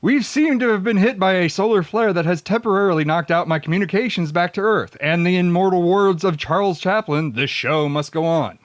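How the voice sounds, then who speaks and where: A man's voice: high-pitched, fairly quick, hardly any pauses, no room sound. A man hosting a comedic radio drama read-through, sardonic and mock-dramatic, slipping into casual asides. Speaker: host and producer.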